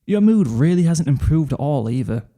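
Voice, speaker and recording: A man's voice: on the high side, big pitch swings, moderate pace, warm tone. A man narrating a second-person fan fiction story.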